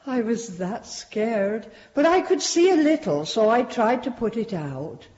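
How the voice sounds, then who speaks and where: Expressive older maidservant, slow-spoken. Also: female voice, on the high side. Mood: shaken, sorrowful. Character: humble, anxious older Victorian maidservant giving testimony. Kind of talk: radio drama acting. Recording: echoey courtroom, slightly muffled.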